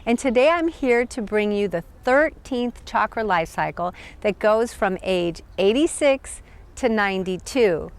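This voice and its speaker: An American woman teaching about chakras, talking casually to camera outdoors in a park, gentle and upbeat. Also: mid-range voice, lively intonation, measured pace, smooth. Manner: gentle, upbeat, laughs often. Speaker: chakra teacher, channel host.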